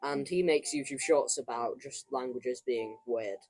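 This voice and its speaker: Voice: medium pitch, a little slow, a little mellow, dry recording. A teenage student co-hosting a casual podcast, rambling through a story with many stops and restarts. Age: teens. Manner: rambling, full of ums and restarts. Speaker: podcast co-host. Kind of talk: casual chat.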